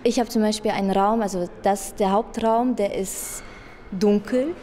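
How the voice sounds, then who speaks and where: A woman's voice: medium pitch, steady tone, measured pace, mellow, a little hiss or hum. A young woman in her twenties explaining her exhibition concept, hesitant with many fillers, into a handheld mic in an exhibition hall. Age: 20s. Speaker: design graduate. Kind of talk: interview at an exhibition. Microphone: handheld interviewer mic.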